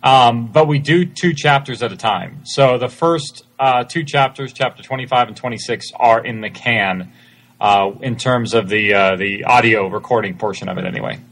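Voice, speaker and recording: A man's voice: mid-range voice, moderate pace, fairly bright tone, rough recording. A man answering questions casually as a creator being interviewed on a podcast. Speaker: interview guest, audio drama creator.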